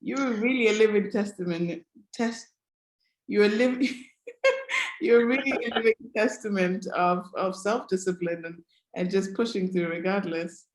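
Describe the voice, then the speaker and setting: A woman's voice: mid-range voice, measured pace. Enthusiastic woman host, echoey room. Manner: enthusiastic, effusive praise. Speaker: interview host. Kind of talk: upbeat interview hosting.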